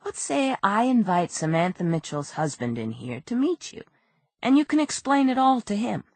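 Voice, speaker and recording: A woman voicing a weary male police detective who speaks quietly and steadily. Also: mid-range voice, big pitch swings, moderate pace, no echo, voice pushed up. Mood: quiet firm.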